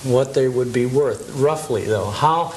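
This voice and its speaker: A woman's voice: extremely low pitch, a little slow, a little mellow, slight background noise, poor audio. A woman hosting a casual TV interview, asking questions in an inquisitive, folksy way. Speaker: TV interviewer, host.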